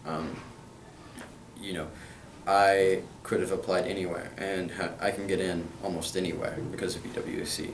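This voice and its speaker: A man's voice: low voice, flat delivery, moderate pace, reverberant, distant mic, slight background noise, low-quality recording. A young man from Kentucky answering interview questions about his school in an earnest way, with plenty of hesitant fillers. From Kentucky, USA.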